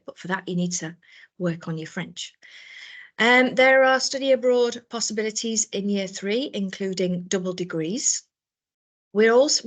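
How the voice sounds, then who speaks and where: A British woman, a professor, presenting a business school program in a live information session, explaining things with frequent ums and restarts. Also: medium pitch. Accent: British English. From Britain.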